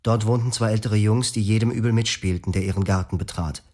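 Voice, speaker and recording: A man's voice: on the low side, steady tone, quick pace, warm tone, close to an everyday voice. Actor as a brooding husband narrating his past. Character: grieving husband telling his story. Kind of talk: radio drama monologue.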